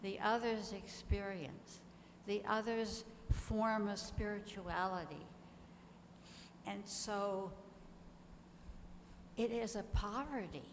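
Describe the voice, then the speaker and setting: Slow, deliberate woman in a noisy hall. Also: medium pitch. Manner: measured, deliberate, pausing often. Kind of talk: reflective group discussion. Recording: echoey hall with clear background noise.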